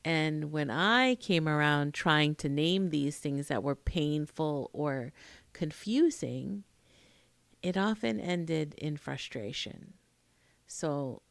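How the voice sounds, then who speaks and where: An American woman in her late forties shares a personal story to camera. Her voice sits on the low side with noticeable fry, and she speaks slowly and expressively, pausing for emphasis. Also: dry recording. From Queens, New York, United States. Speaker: vlogger, emotional intimacy coach.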